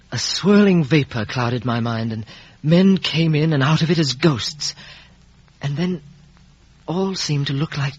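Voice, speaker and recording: Earnest regretful gentleman, husky, literary radio drama narration, muffled old recording. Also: fairly high pitch. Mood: haunted. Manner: literary, reflective, halting with emotion. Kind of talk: 1950s radio drama acting.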